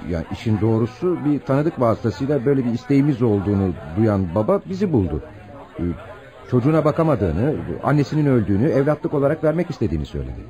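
A man's voice: mid-range voice, very animated, quick pace, warm tone, lo-fi sound, natural voice. An actor playing a polite, anxious would-be adoptive father in a radio drama, hesitant and deferential.

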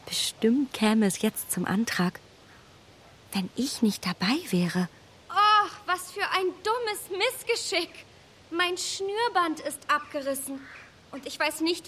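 An actress playing a self-assured young matchmaking gentlewoman in a radio play, with whispered asides and polite, animated speech. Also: sits high, a little hiss or hum. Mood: scheming. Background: music.

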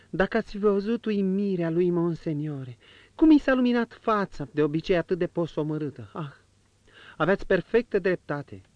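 A man's voice: sits high, warm tone, no room sound, voice pushed up. A man voicing an emotional young noblewoman in a dull lo-fi audiobook reading.

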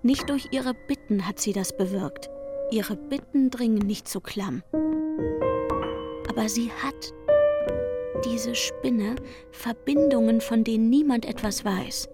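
A woman's voice: fairly high pitch, takes it slowly, pauses often. A woman playing a young, jealous barmaid in a literary radio drama monologue, emphatic, brooding and aggrieved. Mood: bitter. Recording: music playing behind the voice. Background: music.

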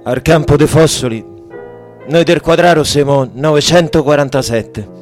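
An actor playing a frightened, worn man deported to a wartime camp, with a Roman accent, in halting, bitter radio drama storytelling. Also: on the high side, a little mellow, close to an everyday voice. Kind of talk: radio drama monologue and dialogue. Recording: music under the voice.

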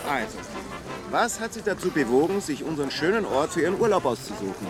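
An actor playing an eager, self-important small-town reporter with an Austrian accent in a radio drama, gushing and pompous. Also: male voice, a little high, big pitch swings, fast, over music. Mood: eager, fawning. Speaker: amateur local video reporter.

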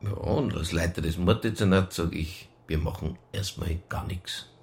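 An actor playing a jaded middle-aged homicide detective in a radio play, laconic and deadpan. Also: male voice, low voice, normal speed, creaky. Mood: unbothered. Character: fat, jaded, hard-drinking middle-aged male detective, stoically unbothered. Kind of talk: radio crime drama acting.

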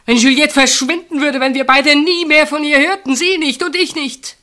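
A woman's voice: high-pitched, steady tone. An actress in a German radio play, playing an anguished woman secretly in love with her sister's husband, intense and dramatic. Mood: bitter, impassioned. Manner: intense, dramatic, swinging from outcry to hushed halting speech.

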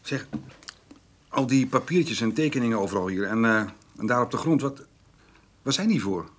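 A man playing a stubborn, homely farmer in a naturalistic radio drama, speaking haltingly and repeating his words. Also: medium pitch, lively intonation, natural voice. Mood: hesitant, confiding. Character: stubborn, plain-spoken farmer, hesitant and homely.

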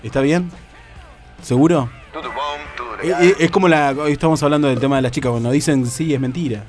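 Hoarse Rioplatense radio host with a cold. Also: male voice, a little high, fairly even intonation, fairly quick, music in the background. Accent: Rioplatense Spanish. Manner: joking, teasing. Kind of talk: casual banter on a radio show.

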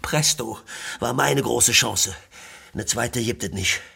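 Ein Mann spielt in einem Hörspiel einen verzweifelten, verletzten, bankrotten Firmenchef, der schimpft und sich selbst bemitleidet. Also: male voice, mid-range voice, slow pace, vocal fry. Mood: bitter anger. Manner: cursing, ranting, swinging between hissing and shouting. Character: desperate, wounded, bankrupt delivery-firm boss, abusive and self-pitying. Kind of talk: radio drama acting.

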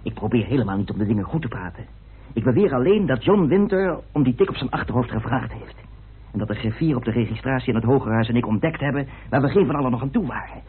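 A man acting in a Dutch radio play as an insistent, argumentative gentleman on a committee. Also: medium pitch, lively intonation, fast talker, natural voice. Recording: tinny, faintly noisy old radio recording.

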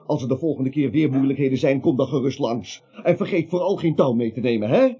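A man's voice: mid-range voice, brisk, dark tone, husky. An actor playing a whining, wisecracking nervous man wrongly accused, in a stagey comic radio play. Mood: sarcastic, weary. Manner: whining, pleading, dryly sarcastic. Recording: dull lo-fi radio play recording.

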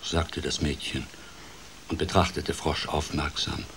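A man's voice: rumbling low, unhurried, slight background noise, heavily acted. An actor playing a playful, wry older grandfather telling a fairy tale expressively in a radio play. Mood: dramatic storytelling. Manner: expressive, dramatic, shifts between hushed and lively. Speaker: storyteller.

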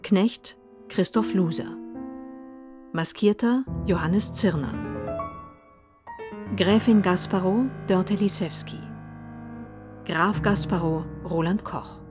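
A female radio announcer reads scripted credits in a formal manner. Her voice is on the low side and unhurried, and music plays clearly behind her. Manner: formal, clearly structured reading.

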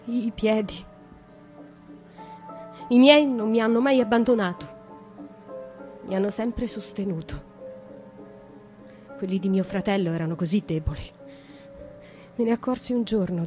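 Radio drama: actress for imprisoned young noblewoman, wounded and defiant, intimate theatrical delivery, mid-pitched, measured pauses, faint music underneath. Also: darkish tone, natural voice.

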